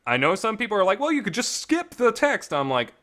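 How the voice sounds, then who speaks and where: A man's voice: sits high, very animated, brisk, a bit bright. A man giving casual, opinionated commentary in a rambling, emphatic way, repeating words for stress, with some reverb on the recording. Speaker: visual novel reviewer. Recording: reverberant room sound.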